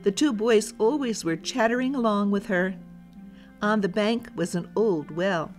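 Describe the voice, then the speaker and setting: Female read-aloud host for children's folktales, medium-pitched, scripted narration with music behind. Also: measured pace, darkish tone.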